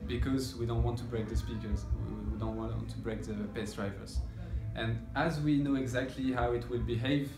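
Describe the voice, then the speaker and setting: A man's voice: medium pitch, steady tone, normal speed, hardly any pauses, over music. A man in his thirties giving an informal technical explanation as an engineer, hesitant and searching for words. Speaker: audio engineer explaining a measurement process. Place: indoor listening room with loudspeakers. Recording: roomy echo with background room noise.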